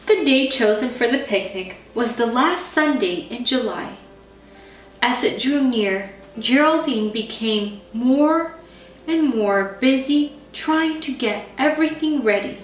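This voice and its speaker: A woman reading a children's bedtime story as a scripted narration. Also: fairly high pitch. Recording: roomy, distant mic, faint music.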